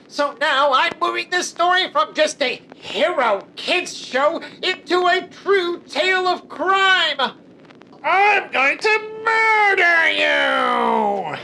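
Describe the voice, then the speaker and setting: A woman's voice: extremely high pitch, bright, forceful tone, slight background noise, exaggerated voice, rough recording. A woman voicing a deranged, foul-mouthed villain in a kids' cartoon parody, mocking and theatrical.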